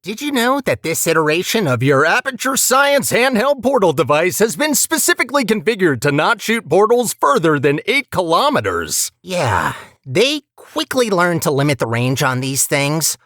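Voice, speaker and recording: Sardonic, wildly animated AI guide, male. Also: high-pitched, moderate pace, hardly any pauses, no room sound, studio quality. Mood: wry, uneasy. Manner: wry, hesitant asides, conversational. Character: sardonic chatty male AI guide, breezily untrustworthy. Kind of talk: video game character voice lines.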